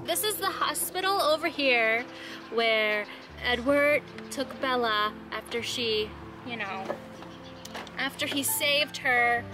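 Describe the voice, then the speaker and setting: A woman in her thirties hosting a casual family travel vlog, talking to a camera held at arm's length. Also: a little high. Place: inside a car and outdoors. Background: music.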